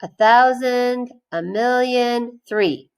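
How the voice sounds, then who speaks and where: Woman voicing a stubborn little schoolboy, high-pitched. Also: takes it slowly, clean tone. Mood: earnest counting. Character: young schoolboy, stubborn, guileless, eager for recess.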